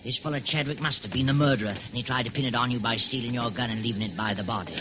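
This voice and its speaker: An actor playing a grumbling, nervous working-class London sidekick with a London accent in a radio drama, talking in colloquial slang. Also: male voice, mid-range voice, very fast, music in the background, lightly acted. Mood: uneasy. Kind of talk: old radio drama acting. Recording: hissy, dull lo-fi radio recording.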